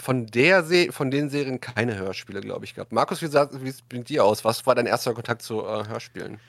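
A man's voice: medium pitch, a little fast, creaky, fairly bright tone, dry recording. A man hosting a casual discussion, often restarting his sentences as he searches for words. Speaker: podcast and radio show host. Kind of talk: casual chat, moderating a discussion.

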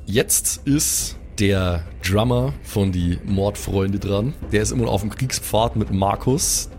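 A man's voice: fairly low pitch, slow pace, darkish tone. A man, narrating an improvised tabletop game as game master.

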